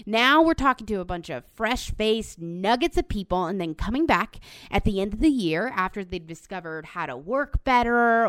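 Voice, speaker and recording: A young woman hosting a student podcast, bubbly and excitable, teasing her guests playfully. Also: medium pitch, clear, resonant tone, no room sound, studio quality. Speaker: podcast host. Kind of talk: casual group chat.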